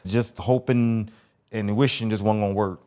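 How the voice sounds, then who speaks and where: Podcast guest: man in his forties, low voice, relaxed storyteller with street slang. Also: normal speed, smooth. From North Las Vegas, USA. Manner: laid-back storytelling, slangy, restarts phrases. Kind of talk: casual interview conversation.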